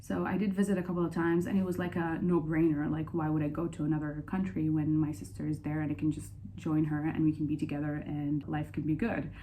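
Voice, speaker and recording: A young woman from Saudi Arabia talking casually and candidly in a personal Q&A vlog, chatty and quick to laugh. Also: deep voice, flat delivery, warm tone, slight background noise. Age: young adult. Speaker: vlogger.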